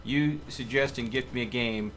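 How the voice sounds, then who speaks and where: A man's voice: mid-range voice, normal speed, a bit bright. Male game streamer, faint background noise. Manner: playful, joking asides. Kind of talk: casual gaming livestream chat.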